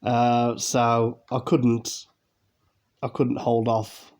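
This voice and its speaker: A man's voice: mid-range voice, flat delivery, drawn-out words, a little mellow. A man from England, hosting a casual unboxing video, chatty and rambling with fillers, his voice nasal and congested from a cold. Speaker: YouTube unboxing host.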